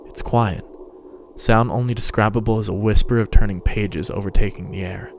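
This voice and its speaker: A man's voice: lowish voice, fairly even intonation, moderate pace, creaky, slight background noise. A man giving a scripted, dramatic narration of a story. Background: music and sound effects.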